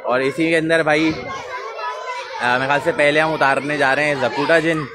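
A man's voice: on the high side, fairly even intonation, normal speed. A man hosting a live stream outdoors, greeting viewers by name as he talks through the scene. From Pakistan. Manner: chatty, greets viewers by name, repeats mashallah. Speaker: livestream host. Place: city street outdoors. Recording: busy street noise and crowd chatter.

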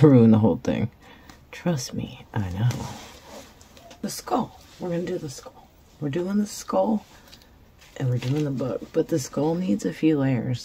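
Raspy-voiced woman crafting at her table. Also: a bass voice, dark tone, slight background noise. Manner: rambling, self-deprecating, stammers and repeats. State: raspy from cheering at a concert. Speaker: resin craft channel host. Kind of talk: casual chat while crafting.

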